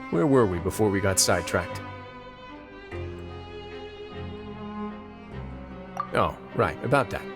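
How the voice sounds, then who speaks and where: Low-voiced, composed, shrewd warden. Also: male voice, music in the background. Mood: calm, wry. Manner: measured, wry, calmly authoritative. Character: composed, shrewd nobleman prison warden, adult man. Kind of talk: animated video game voice acting.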